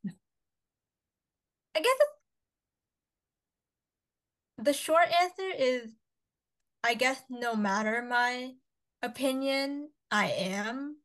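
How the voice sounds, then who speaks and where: Chatty young woman, higher voice. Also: sing-song, drawn-out words, pauses often, fairly bright tone. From Canada. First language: Cantonese. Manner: chatty, upbeat, lots of fillers. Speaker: podcast co-host. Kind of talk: casual chat.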